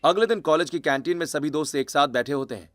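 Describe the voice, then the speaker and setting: Male narrator, fairly high pitch, scripted cartoon storytelling, dramatic delivery. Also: quick pace, a bit bright, dry recording, studio quality.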